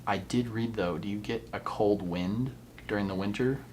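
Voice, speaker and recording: Man, very low pitch, interviewer, curious prompts, slight background hiss. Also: moderate pace, a little mellow. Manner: curious, short prompts with ums. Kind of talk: casual interview.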